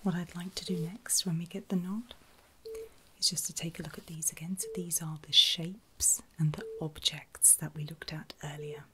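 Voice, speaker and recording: A woman speaking in a breathy whisper, gently reassuring and encouraging as she plays a clinician in an ASMR medical roleplay. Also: deep voice, fairly even intonation, normal speed, a little hiss or hum. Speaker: ASMR performer playing a surgical clinician.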